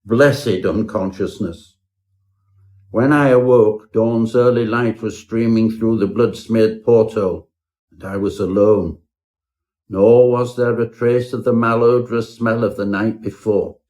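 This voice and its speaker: Man performing a low-voiced pompous Edwardian gentleman storyteller. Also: steady tone, a little slow, lightly acted. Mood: pompous, rueful. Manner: pompous, florid, melodramatic. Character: pompous Edwardian professor narrating his own horror tale. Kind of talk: scripted parody Victorian ghost story narration.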